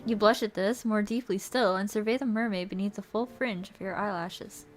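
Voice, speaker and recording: A woman's voice: mid-range voice, fairly even intonation, moderate pace. A woman streamer reading a visual novel aloud and chatting casually between passages. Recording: music faintly behind the voice. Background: music.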